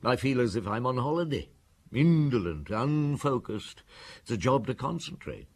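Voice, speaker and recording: A man playing an unhurried French police inspector in an audio drama, courteous and ruminative as he questions and recounts. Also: medium pitch, lively intonation, darkish tone, slight background noise, natural voice. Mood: relaxed, musing.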